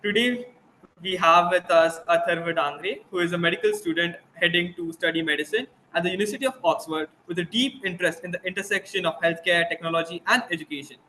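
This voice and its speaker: A male host with a notably high, bright voice reads a guest introduction and asks questions in a formal, brisk way. Faint ambience hums behind him. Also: slight background noise, poor audio. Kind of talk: scripted introduction and interview questions.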